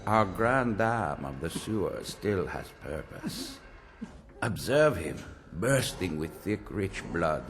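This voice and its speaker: An actor playing a proud, scheming vampire leader in a video game, speaking in a smug, pompous, measured way. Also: male voice, sits low, sing-song, a bit bright, a little hiss or hum, exaggerated voice. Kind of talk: video game cutscene acting.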